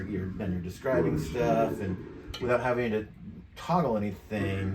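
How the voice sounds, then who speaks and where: A man's voice: lowish voice, background noise. A middle-aged American man giving a casual tutorial demonstration. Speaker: tutorial presenter. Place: furnished game room.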